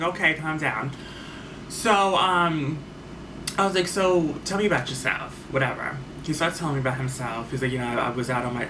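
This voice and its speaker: A man telling a personal story on his vlog in a casual, rambling way, full of fillers and restarts. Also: mid-range voice. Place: at home. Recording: faint far-off mic with noticeable background noise.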